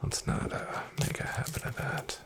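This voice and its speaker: A man playing a shady, wryly menacing back-alley casino dealer in a soft-spoken, breathy ASMR roleplay, with an unhurried drawl. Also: lightly acted. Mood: mildly irked. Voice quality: whispery, breathy, gravelly. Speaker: card game dealer in a roleplay.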